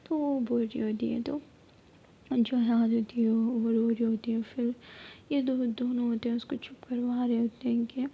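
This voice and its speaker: A woman tells a story almost entirely in a soft, steady whisper. Her voice sits a little high but hardly moves in pitch. The recording sounds a touch dull, with a faint rumble underneath. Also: vocal fry, slightly rough.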